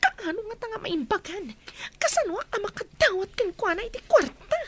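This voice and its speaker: Fretful woman, very high and piercing. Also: very animated, normal speed, fairly bright tone, a little hiss or hum, exaggerated voice. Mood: worried. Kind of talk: radio drama acting.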